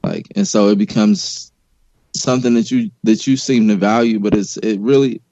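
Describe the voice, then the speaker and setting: A man's voice: lowish voice, steady tone, normal speed, dark tone, highs cut off. A man talking in a loose, casual way as a guest on a podcast discussion.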